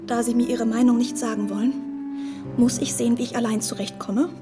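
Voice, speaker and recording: A woman's voice: on the high side, level tone, brisk, over music, close to an everyday voice. An actress playing a naive, wavering young woman eager to please in a period radio drama, hesitant, breathless and girlish. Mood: anxious, hesitant.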